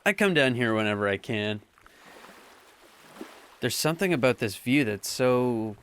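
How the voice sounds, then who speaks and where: A man's voice: mid-range voice, lively intonation, a little slow, fairly bright tone, slight background noise. A young man playing Adam, a cocky but affectionate teenage boy in an audio drama, bantering playfully like a know-it-all. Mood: tender.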